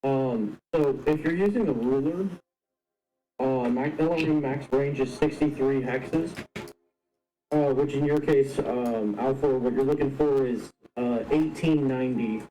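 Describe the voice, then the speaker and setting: Dark, mellow-voiced man talking game rules. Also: medium pitch, a little slow, rough recording. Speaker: player. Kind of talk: casual, technical game talk. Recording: music behind the voice. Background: background music.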